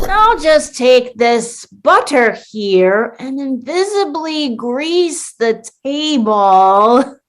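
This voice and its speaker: A woman's voice: a little high, a bit bright, full character voice. A woman acting in a comedic radio play as a disgruntled, petty schemer trapped in a holiday sitcom, theatrical and defiant. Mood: gleeful, petty.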